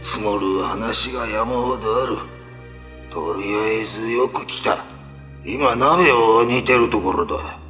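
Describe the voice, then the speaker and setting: Menacing male demon, low gravelly growl, bright forceful edge, suspicious, radio drama. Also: slow pace. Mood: gruffly welcoming. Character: menacing man-eating demon, long-lost older brother. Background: background music.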